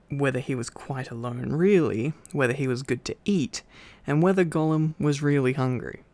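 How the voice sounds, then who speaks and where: Sleepy, creaky-voiced male storyteller. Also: a little high, a little mellow, no room sound. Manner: sleepy, informal. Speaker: ASMR storyteller. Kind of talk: relaxed bedtime book reading with rambling asides.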